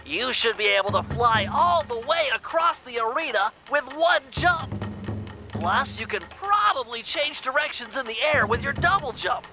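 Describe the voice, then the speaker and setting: A man's voice: very high-pitched, animated, normal speed. A man voicing a brainy, earnest animal sidekick in a video game, explaining things in a precise, bookish way. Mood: helpful, analytical. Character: brainy, earnest male animal sidekick who plans the heists. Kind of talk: video game character acting. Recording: prominent music behind the voice.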